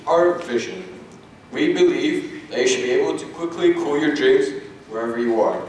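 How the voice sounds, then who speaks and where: Young man from Russia, student pitch presenter, upbeat; distant mic, very echoey room. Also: normal speed, darkish tone. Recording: echoey room, far from mic, some noise.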